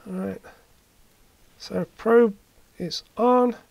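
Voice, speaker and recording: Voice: medium pitch, drawn-out words, vocal fry, a little mellow, no echo, poor audio. A hobbyist presenter giving a casual hands-on repair commentary, thinking aloud while working. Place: indoor workbench.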